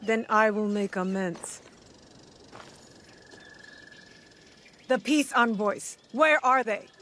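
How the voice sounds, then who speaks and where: A woman's voice: mid-range voice, unhurried, a bit bright, slight background noise, lightly acted. An actress playing a determined, guarded young shinobi woman in a video game cutscene, speaking in a blunt, terse, resolute manner.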